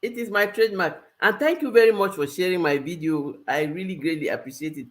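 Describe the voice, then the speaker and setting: A woman's voice: lowish voice, expressive, brisk. A woman from Cameroon with a Cameroonian accent, a life coach talking live to her viewers in an earnest way and repeating phrases for emphasis. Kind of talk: live-stream talk to viewers.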